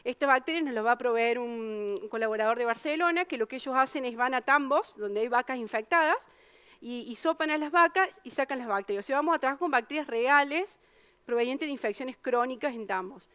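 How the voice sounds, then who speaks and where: A woman with an Argentine Spanish accent gives a public science talk. Her voice is smooth and resonant and sits fairly high, and the sound is dry and close. She explains patiently, full of fillers and self-corrections. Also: a little fast, a bit bright, no room sound. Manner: explanatory, many fillers and restarts.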